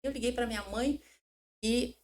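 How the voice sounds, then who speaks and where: Middle-aged woman, fairly high voice, lively talkative interview guest. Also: normal speed. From São Paulo, Brazil. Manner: animated, chatty, self-interrupting storyteller. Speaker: podcast guest, HR manager. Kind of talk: casual interview chat.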